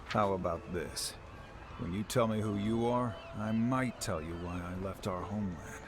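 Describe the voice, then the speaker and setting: Actor as a low-voiced, wary swordsman. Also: moderate pace, noisy background, lightly acted. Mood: guarded, irritated. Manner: terse, guarded, dry. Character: wary, gruff swordsman bodyguard, adult male, on edge. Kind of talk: video game voice acting. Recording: music behind the voice.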